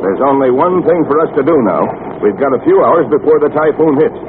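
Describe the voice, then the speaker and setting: An actor playing a steady, authoritative sea captain giving urgent orders in a 1940s radio serial. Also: male voice, a little high, brisk, close to an everyday voice. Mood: resolute. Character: Uncle Jim, steady commanding adult sea captain. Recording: tinny, very noisy 1940 radio recording.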